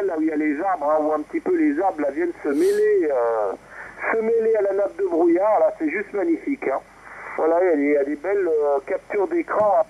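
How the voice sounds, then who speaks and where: A man's voice: fairly high pitch, husky. A man chatting casually as he leads an amateur radio round, heard over a radio transmission. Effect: two-way radio transmission. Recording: muffled, hissy amateur radio reception.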